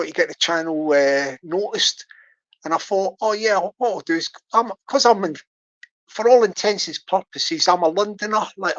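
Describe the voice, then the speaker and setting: A man's voice: fairly high pitch, a bit bright. Rambling older Scottish man on a lo-fi stream. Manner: rambling, repeats words and restarts often. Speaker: YouTube channel host. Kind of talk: casual livestream chat with viewers.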